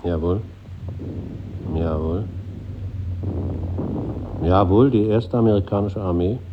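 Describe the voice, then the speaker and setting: An actor playing a composed, resolute wartime army colonel in a 1950s radio drama, with a clipped, controlled delivery. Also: male voice, low voice. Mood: controlled, tense. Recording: dull old radio recording, slight noise.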